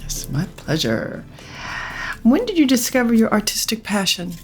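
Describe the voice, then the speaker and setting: A woman hosting an art podcast, reading a formal introduction and asking interview questions in a measured way from her apartment. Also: fairly high pitch, wildly swooping pitch, faint music behind. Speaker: podcast host. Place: Manhattan apartment.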